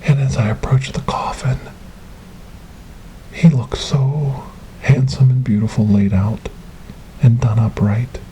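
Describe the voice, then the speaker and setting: A man performing an ASMR monologue as a gentle, grieving storyteller in a witch-trial roleplay, speaking softly and haltingly in a breathy, often whispered voice close to the microphone. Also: mid-range voice, slight background noise, close to an everyday voice, poor audio. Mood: grieving, tender. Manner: slow, halting, earnest, soft-spoken. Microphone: close binaural microphone.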